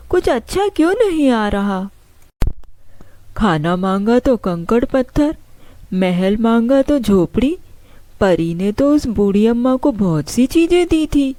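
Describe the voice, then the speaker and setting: A woman's voice: a little high, mellow, slight background noise. A woman voicing a sly, whining young boy in a children's cartoon, talking in an exclamatory way. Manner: slow, exclamatory, talking to himself. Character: sly, whining young boy who steals a magic flute. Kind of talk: Hindi children's cartoon dialogue. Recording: music under the voice.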